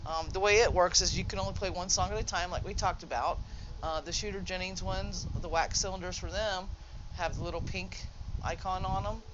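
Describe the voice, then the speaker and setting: High-voiced man giving a casual game demo. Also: steady tone, brisk, muffled recording, noisy background. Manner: informal, explanatory, self-correcting. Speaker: gamer presenting a demonstration. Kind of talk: casual unscripted game tutorial. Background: video game music.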